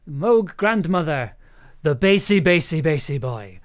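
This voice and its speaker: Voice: medium pitch, big pitch swings, unhurried. An enthusiastic, playful music producer chatting casually on a livestream, rambling a little and thinking aloud while working. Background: synth music and keyboard clicks.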